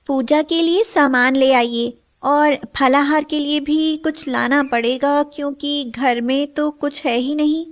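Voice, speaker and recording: Woman acting a high-voiced, pious poor wife. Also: fairly even intonation, takes it slowly, hardly any pauses. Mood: worried. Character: poor, pious, gentle, accepting village wife. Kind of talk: moral story cartoon dialogue.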